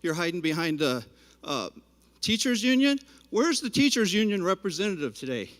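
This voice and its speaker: Parent at the podium: man with a high voice, combative and pointed, echoing meeting hall. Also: very animated, normal speed, a bit bright. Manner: confrontational, addressing board members directly. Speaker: parent giving public comment. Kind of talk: public comment at a school board meeting. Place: school board meeting room. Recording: reverberant hall.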